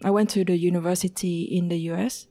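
A woman in her thirties from Vietnam, speaking English as an interview guest, recounting her life at an unhurried pace and pausing to find words. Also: fairly low pitch, fairly even intonation, dark tone.

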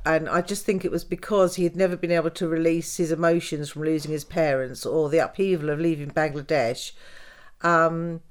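An older woman, telling the story of her life in a casual interview, with soft laughs and fillers. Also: deep voice. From Canada. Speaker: guest, host's mother.

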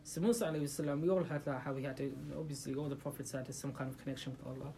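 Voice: mid-range voice, very fast, mellow, slight background noise. Speaker giving an informal religious talk. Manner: rhetorical questions to the listeners, hesitant restarts. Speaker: religious talk leader for a group. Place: room with listeners present.

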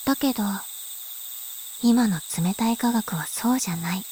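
An actress voicing a calm, mysterious older sister who speaks softly and philosophically in a voice drama. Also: female voice, on the high side, a little hiss or hum, lightly acted. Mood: musing. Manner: slow, soft, musing. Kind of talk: voice drama acting. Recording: faint insect and cricket ambience.

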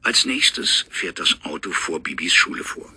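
A man's voice: deep voice, normal speed, sharp tone, husky, heavily acted. A man narrating a children's audio drama as its storyteller.

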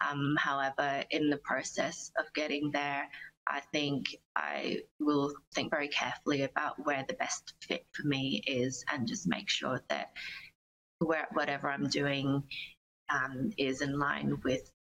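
A woman giving considered, measured answers in a conversational interview as a singer-songwriter guest. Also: a bass voice, fairly bright tone, poor audio. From Australia.

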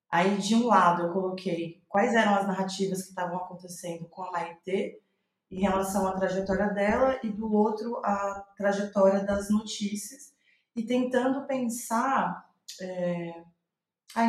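A Brazilian woman giving reflective interview answers as a playwright, thinking aloud and searching for her words. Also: mid-range voice. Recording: roomy, echoing sound.

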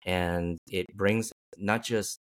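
Male host, very low voice, halting, repeats words, casual chat. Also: steady tone. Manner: halting, repeats words while forming questions.

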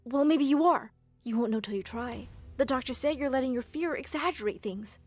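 A woman voicing a caring young man who speaks softly and reassures. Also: sits high, brisk, soft background music, voice pushed up. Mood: soft coaxing. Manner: gentle and reassuring, firm when angry. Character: caring, protective young boyfriend.

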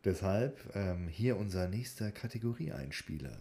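A man's voice: fairly low pitch, animated, moderate pace, vocal fry, very noisy. A young man co-hosting a podcast, chatting casually in a hesitant, loosely phrased way. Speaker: podcast co-host. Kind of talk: casual chat.

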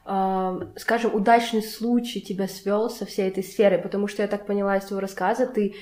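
A woman's voice: mid-range voice, little pitch movement, brisk, darkish tone. A young woman hosts a casual podcast chat. She speaks conversationally and probes with follow-up questions, her voice ringing in an echoey room. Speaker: podcast host interviewing a guest. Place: indoors in a home.

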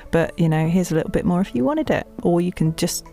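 A woman's voice: lowish voice, expressive, fast, creaky, mellow, soft background music. A woman chatting informally in a casual interview as a voice actress.

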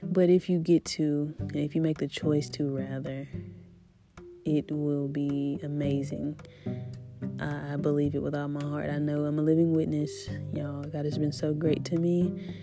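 A woman hosting a spiritual podcast in a casual, rambling way with a deep voice that is still recovering from an illness. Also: little pitch movement, unhurried, music in the background. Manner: rambling, faith-filled, soft laughs. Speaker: podcast host.

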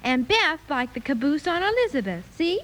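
A woman's voice: high-pitched, big pitch swings, normal speed, fairly bright tone. A woman playing a prim, earnest little runaway girl in a 1950s Western radio drama. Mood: polite, pleading. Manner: prim, childlike, literal-minded. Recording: hissy old-time radio recording.

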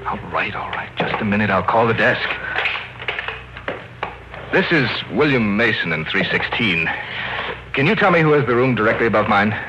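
Haunted husband, low grave voice. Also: male voice, noisy background. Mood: uneasy, reassuring. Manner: grave, deliberate, dramatic. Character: haunted husband on the run, writing a diary. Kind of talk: 1940s radio drama acting. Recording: dull old radio recording with music.

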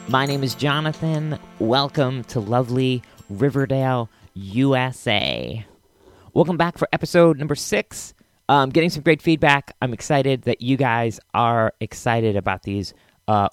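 A man's voice: mid-range voice, dry recording, clean studio sound. A man hosting a podcast in a casual, enthusiastic, chatty way. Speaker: podcast host.

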